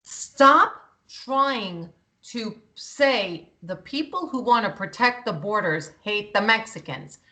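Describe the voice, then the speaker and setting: A woman's voice: mid-range voice, expressive, takes it slowly, bright, forceful tone, rough recording. A woman co-hosting a talk show, speaking bluntly and passionately, swearing freely. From Greek heritage. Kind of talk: heated political talk.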